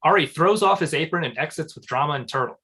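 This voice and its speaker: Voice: mid-range voice, lively intonation, fast, a bit bright, husky. A narrator reading scripted stage directions aloud at a live comedy table read.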